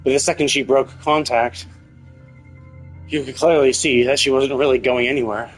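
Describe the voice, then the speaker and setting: A man's voice: mid-range voice, steady tone, normal speed, soft background music, rough recording. A man giving casual, rambling live commentary as a game streamer, in an informal, conversational way. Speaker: livestreamer.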